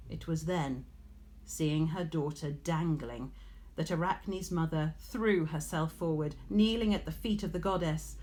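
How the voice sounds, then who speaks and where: A woman reading a story aloud in a measured, expressive storytelling manner, like a teacher. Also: low voice, rough recording. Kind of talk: scripted storybook narration.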